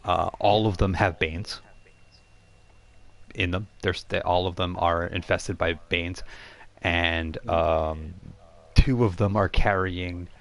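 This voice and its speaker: Male game master, deep-pitched, describing scenes off the cuff with a halting, word-hunting delivery. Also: steady tone, creaky, fairly bright tone. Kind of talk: narrating a live tabletop role-playing game, improvised.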